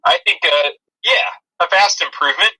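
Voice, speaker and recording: A man's voice: on the high side, moderate pace, bright, forceful tone, husky, poor audio. A man co-hosting a podcast, chatting casually and jokily about films. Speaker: podcast co-host. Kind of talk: casual, jokey film review chat.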